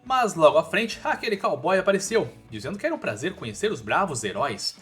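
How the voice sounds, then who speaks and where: A man's voice: on the high side, big pitch swings, quick pace, fairly bright tone. A man with a Brazilian accent narrating a scripted story recap in a colloquial, informal way with casual asides. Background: background music.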